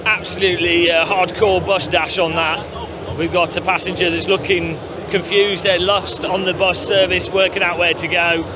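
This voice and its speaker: Chatty gaming youtuber guy, high voice, loud show floor noise behind him. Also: flat delivery, moderate pace. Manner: chatty, upbeat, lots of fillers. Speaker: gaming channel host. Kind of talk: casual walkthrough commentary. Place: busy gaming convention floor. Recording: noisy convention floor.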